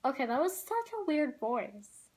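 A teenage girl chats to a camera in a small room at home, her voice sitting a little high. She rambles loosely, stringing thoughts together with "like" and apologizing as she goes. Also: big pitch swings. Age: teens. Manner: chatty, rambling, with many "like"s and quick apologies. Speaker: vlogger. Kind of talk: casual chat to camera.

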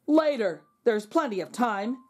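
A woman's voice: fairly high pitch, animated, unhurried, a little mellow. A woman voicing a playful, sly storybook man with a breezy, teasing, mock-innocent manner. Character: playful, sly man who outwits goblins. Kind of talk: children's storybook reading.

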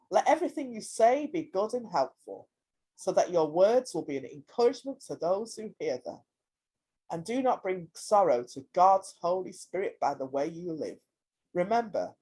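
A woman reads scripture aloud in a husky, slightly rough voice. Her pitch moves widely from phrase to phrase, making the reading lively and expressive. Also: low-quality recording. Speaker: scripture reader.

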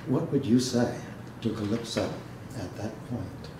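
A man giving a dramatic literary reading and talk to an audience, speaking in a measured way with pauses. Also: lowish voice. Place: indoor hall, seated before an audience. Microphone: microphone on a stand, recorded by a distant camera. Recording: echoey room, noisy background.

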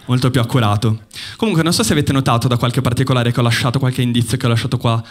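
A young man from Bologna does a stand-up set on a theater stage, speaking into a handheld microphone. His delivery is deadpan and ironic, with a mid-range pitch, and he pauses to let the jokes land. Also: soft background music. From Bologna, Italy. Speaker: stand-up comedian. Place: theater stage before a live audience. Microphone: handheld mic.

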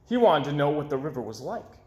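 A young man reading a children's story aloud in an expressive way, with dramatic pauses. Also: fairly high pitch. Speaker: storybook reader. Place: small indoor room with bookshelves. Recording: roomy, echoey indoor sound.

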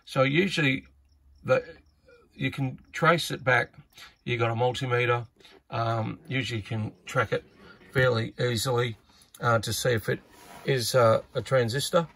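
Male hobbyist presenter, husky with some gravel, unpolished and hesitant, talking through hands-on repair work. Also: medium pitch, fairly even intonation, measured pace, pauses often, fairly bright tone. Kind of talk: casual hands-on repair commentary. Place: indoors at an electronics workbench.

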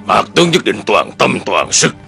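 A man playing a stern, worried old general in a dubbed historical cartoon, gravelly and formal in a martial way. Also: on the low side, very animated, moderate pace, over music, exaggerated voice. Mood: deferential.

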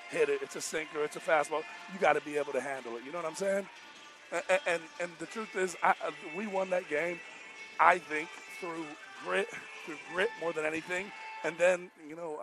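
A man with an American accent, a coach talking casually and emphatically in a post-game interview, repeating his points in colloquial speech. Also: a little high. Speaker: basketball coach. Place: indoor basketball arena, courtside. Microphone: headset.

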